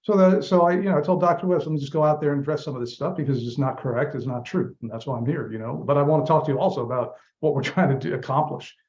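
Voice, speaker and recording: A man's voice: a little high, rapid-fire, hardly any pauses, a little mellow, lo-fi sound. A man from Lebanon, a campaign manager, answering interview questions earnestly and explaining at length. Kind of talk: interview guest.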